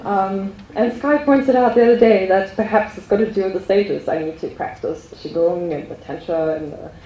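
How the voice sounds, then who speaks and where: A woman giving an earnest spiritual testimony to a group, speaking hesitantly with many pauses and ums. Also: medium pitch, warm tone, reverberant, highs cut off.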